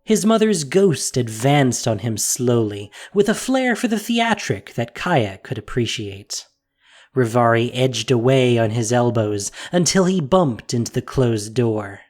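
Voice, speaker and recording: A man reading scripted fantasy story narration with expressive delivery. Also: medium pitch, measured pace.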